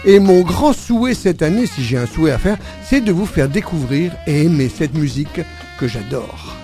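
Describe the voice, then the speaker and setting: A man's voice: fairly high pitch, expressive, moderate pace, music in the background. A man hosting a jazz radio show in a studio, presenting casually with many hesitations and fillers.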